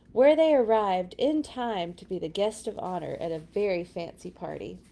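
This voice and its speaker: A woman's voice: lowish voice, vocal fry, darkish tone, slight background noise. A woman reading a picture book aloud to children, with dramatic emphasis and chatty asides. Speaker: children's read-along storyteller.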